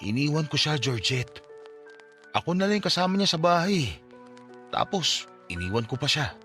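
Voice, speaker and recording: A man's voice: on the high side, very animated, moderate pace, bright, forceful tone. A grieving son in a radio drama, heavy with guilt. He speaks emotionally and blames himself, and music plays beneath his voice. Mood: anguished regret. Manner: emotional, self-blaming. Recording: music bed under the voice.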